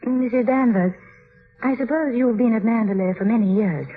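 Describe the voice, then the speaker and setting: An actress playing a shy, earnest and anxious young bride in a 1950s radio drama. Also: female voice, fairly high pitch, normal speed, narrow, tinny audio, close to an everyday voice. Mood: nervous, polite. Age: young adult. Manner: hesitant, wistful, anxious. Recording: band-limited old radio with loud music.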